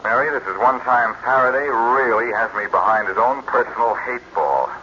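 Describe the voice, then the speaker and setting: An actor playing a glib, wisecracking amateur sleuth in a 1940s radio crime drama. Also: male voice, medium pitch, normal speed, pushed and bright, lightly acted. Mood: wry. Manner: glib, teasing. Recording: muffled, noisy 1940s radio broadcast.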